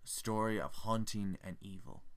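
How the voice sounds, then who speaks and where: A man acts out a melancholy older gentleman haunted by his past. His voice is low and creaky, and he delivers his stage monologue in a formal, measured, brooding way, as a theatrical ghost story. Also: fairly even intonation, close to an everyday voice. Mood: haunted, grave. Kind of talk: dramatic monologue reading from a stage play.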